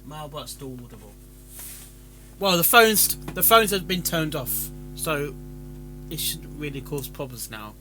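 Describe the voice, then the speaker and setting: A man giving casual live reaction commentary in halting, muttered asides. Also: on the high side, animated, over music, poor audio. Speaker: streamer reacting to a fan fiction reading.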